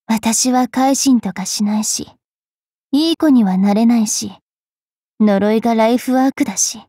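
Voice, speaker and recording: A woman playing a cruel, wilful young female fairy knight in an anime game, with a haughty, taunting, rough-mouthed delivery. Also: fairly high pitch, dark tone, no echo, clean studio sound. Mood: hesitant, confessional. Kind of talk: anime mobile game voice lines.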